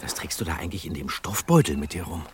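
An actor playing a bookish young detective in an audio drama, earnest, somewhat timid and explaining with hesitant fillers. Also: male voice, sits low, big pitch swings, fast talker, background noise. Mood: uneasy, reluctant. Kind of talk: German audio drama acting.

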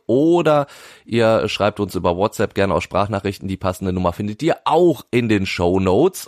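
A male podcast host with a lowish voice, relaxed and easy. He laughs along with his guest. The sound is close and dry, with no room. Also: no echo. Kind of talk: casual podcast interview.

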